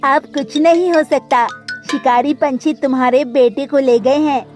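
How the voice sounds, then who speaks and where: A woman's voice: sits high, fairly even intonation, measured pace, darkish tone, faint music behind. A woman voicing a practical, reassuring female sparrow friend in a cartoon story. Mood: consoling.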